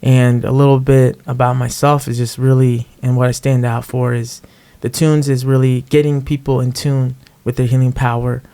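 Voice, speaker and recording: A man's voice: medium pitch, level tone, moderate pace, hardly any pauses, dark tone, clear, resonant tone. A man hosting a podcast in a casual, conversational way. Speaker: podcast host, music artist.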